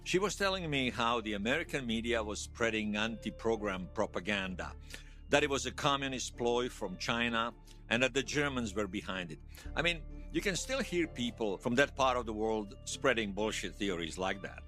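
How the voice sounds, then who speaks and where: Wry, animated male storyteller. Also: medium pitch, normal speed, faint music behind. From Bosnia. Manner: wry storytelling with colourful folk sayings. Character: wry, folksy Bosnian man recounting his past to interviewers. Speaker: storyteller being interviewed. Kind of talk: audio drama monologue in interview form.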